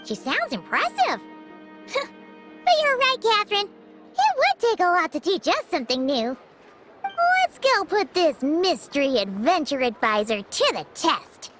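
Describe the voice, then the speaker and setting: A woman voicing a tiny, excitable, boastful girl companion with a squeaky childlike voice in a video game. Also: unhurried, music in the background, exaggerated voice. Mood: curious, skeptical. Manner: refers to self in third person, exclamatory.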